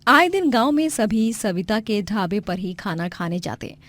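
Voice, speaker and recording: Woman narrator with medium-pitched voice. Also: sing-song, measured pace, a bit bright. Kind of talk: scripted story narration.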